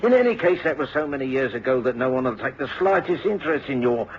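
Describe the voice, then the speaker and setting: An actor playing a hot-tempered, defiant old father in a radio drama, with a gravelly voice and a blustering, emphatic manner. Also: medium pitch, lively intonation, fast, lightly acted. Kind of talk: British radio drama acting. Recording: muffled, noisy old radio recording.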